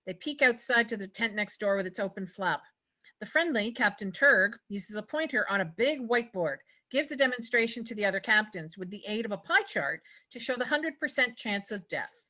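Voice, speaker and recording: A woman's voice: mid-range voice, animated, moderate pace, pushed and bright. A woman narrating a screenplay, reading out scripted stage directions at a table read.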